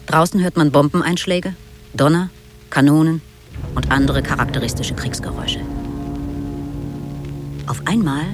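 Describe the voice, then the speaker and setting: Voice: mid-range voice, unhurried, pauses often, soft background music. A narrator theatrically reading out titles, character lists and stage directions for a radio play.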